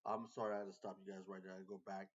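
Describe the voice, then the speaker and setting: Low-voiced man reacting to a clip. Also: little pitch movement, very fast, dull audio. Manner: repetitive, restarts, emphatic. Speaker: reaction video host. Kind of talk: casual commentary on a clip.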